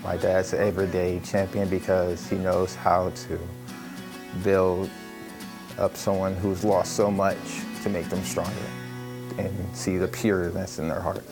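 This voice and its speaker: A man's voice: low-pitched, little pitch movement, a little slow. A man giving a sincere testimonial about someone he admires. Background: plucked guitar music.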